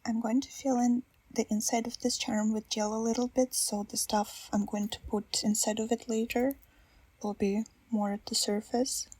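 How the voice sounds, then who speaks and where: A woman with a Russian accent, a native Russian speaker, narrating a nail art ASMR voiceover in a soft, self-deprecating, chatty way. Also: fairly high pitch, level tone, normal speed, breathy edge, dry recording.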